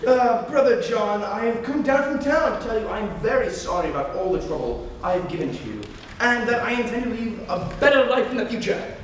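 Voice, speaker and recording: A young man playing a flippant, teasing young English gentleman in an amateur reading of a stage comedy. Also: sits high, animated, a little fast. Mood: mock-contrite. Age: young adult. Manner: mock-earnest, teasing. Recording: echoey hall, distant mic, faint noise.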